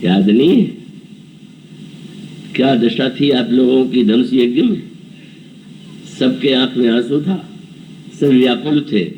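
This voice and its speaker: A man's voice: medium pitch, takes it slowly, pauses often, recorded from a distance, lo-fi sound, a little hiss or hum. A man in his seventies giving a devotional scripture discourse, telling the story and acting out characters' dialogue. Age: 70s. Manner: storytelling, voices characters' dialogue and chants verses. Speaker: preacher.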